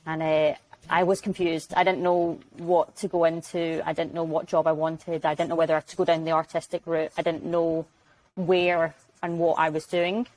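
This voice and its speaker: Glaswegian woman, low, chatty. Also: little pitch movement, moderate pace, a little mellow, low-quality recording. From Glasgow, Scotland. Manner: chatty, acts out little quoted exchanges. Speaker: guest, sales development rep. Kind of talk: casual video-call interview. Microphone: video call.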